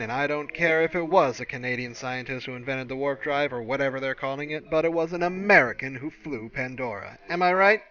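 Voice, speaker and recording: A man playing a loud, opinionated talk-radio host, ranting with punchy, indignant emphasis in bombastic DJ patter. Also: medium pitch, muffled recording, a little hiss or hum, lightly acted.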